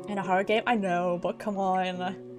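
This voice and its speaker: Female British livestreamer, expressive, sweary and informal, mid-range voice with faint music behind. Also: medium pitch, normal speed. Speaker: streamer. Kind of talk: casual livestream chat. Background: background music.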